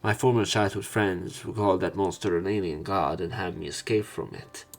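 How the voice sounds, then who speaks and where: A man's voice: low-pitched, fairly even intonation, normal speed. A man reading a visual novel's narration aloud as a let's player, stumbling now and then and repeating words. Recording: music playing behind the voice. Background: game music.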